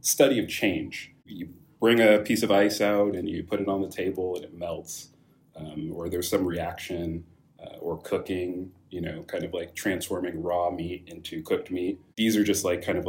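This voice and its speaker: Man, young adult, deep voice, casual interview guest, thoughtful, many fillers. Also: fairly even intonation, normal speed, a little hiss or hum. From California, USA. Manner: thoughtful, many fillers and restarts, laughs lightly. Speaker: guest, wine company founder.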